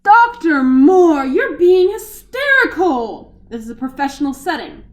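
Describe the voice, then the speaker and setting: A woman's voice: very high-pitched, big pitch swings, a little slow, heavily acted. A woman playing a stern, threatening boss and cold schemer, curt and commanding, in a radio play.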